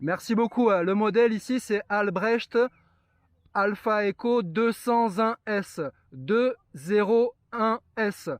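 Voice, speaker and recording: A man's voice: high-pitched, slow, dry recording, clean studio sound. A man chatting casually and explaining things as a radio operator. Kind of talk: casual radio contact chat.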